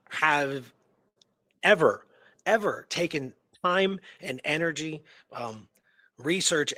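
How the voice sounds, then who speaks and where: Casual man, slightly high voice, dry sound. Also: pushed and bright, no room sound. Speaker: radio show co-host. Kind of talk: casual talk recounting history from notes.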